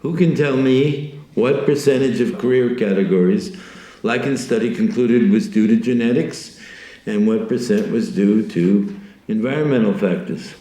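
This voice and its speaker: An actor playing an exacting college lecturer in an audio drama, didactic, with deliberate emphasis. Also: male voice, lowish voice, warm tone, natural voice. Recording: roomy classroom echo.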